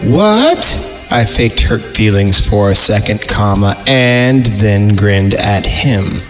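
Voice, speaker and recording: Guy with a lowish voice reading a creepy story, joking asides, faint music behind. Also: steady tone, slow, fairly bright tone. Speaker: podcast co-reader.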